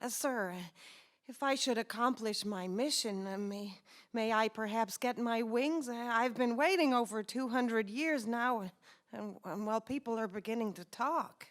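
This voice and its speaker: Woman playing flustered, high-voiced apprentice angel. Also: measured pace, lightly acted. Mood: sheepish, hopeful. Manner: stammering, hesitant, polite. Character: flustered, eager old apprentice angel, male, deferential. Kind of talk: old-time radio drama acting.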